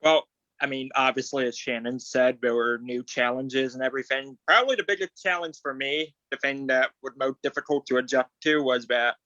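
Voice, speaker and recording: A man's voice: mid-range voice, fairly even intonation, a little fast, sharp tone, no echo. A man, an actor, explaining things casually in a video call discussion. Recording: dull lo-fi video call audio.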